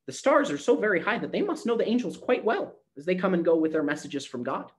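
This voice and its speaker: Eager little girl voice, high and bouncy, all hopeful and pleading, guy putting it on. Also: fast, a little hiss or hum. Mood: bright hopeful. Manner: bright, excited, breathless pleading.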